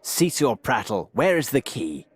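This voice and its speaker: An actor playing a shrewd, authoritative Victorian gentleman detective in a video game. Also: medium pitch, very animated, a little slow, heavy background noise. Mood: stern, impatient.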